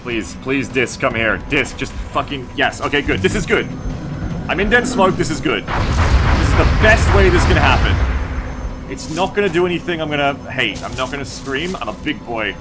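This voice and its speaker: A man's voice: mid-range voice, animated, moderate pace. Man streaming, wry sweary game commentary. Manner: wry, rambling, sweary, thinking aloud. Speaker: video game live streamer. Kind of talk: casual live gameplay commentary. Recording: music and game sound behind the voice. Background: tactical video game audio and music.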